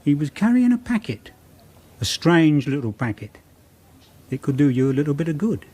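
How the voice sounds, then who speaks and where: An actor playing a chatty, knowing police colleague in a radio drama, clipped and teasing. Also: male voice, fairly high pitch, sing-song, moderate pace, a little mellow. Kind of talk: British radio drama acting.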